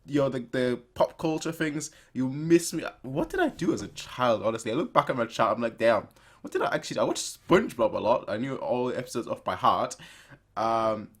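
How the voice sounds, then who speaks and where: A man's voice: medium pitch, brisk. A young man hosting a reaction video, chatting casually to viewers in a rambling, playful way. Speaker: host of a reaction video channel.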